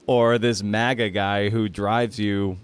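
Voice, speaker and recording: Low, smooth-voiced man hosting a podcast. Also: level tone, takes it slowly. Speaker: podcast host interviewing a writer. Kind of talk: casual conversational interview.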